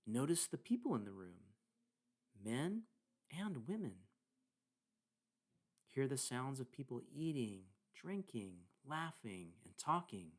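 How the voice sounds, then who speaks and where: A man leading a guided meditation, speaking deliberately in short phrases with pauses between them. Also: medium pitch, very animated. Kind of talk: guided meditation narration with scripture reading.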